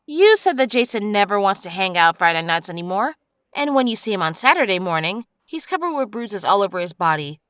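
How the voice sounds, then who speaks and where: A woman's voice: mid-range voice, big pitch swings, a little fast, a bit bright, clear, resonant tone. A woman playing a blunt, fed-up teenage heroine in an audio drama, insistent and conversational.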